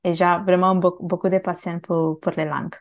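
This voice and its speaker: A woman who grew up in Italy, a native Bengali speaker, speaking as a guest in a casual interview and pausing often to search for words. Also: lowish voice, level tone. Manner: hesitant, many fillers and restarts.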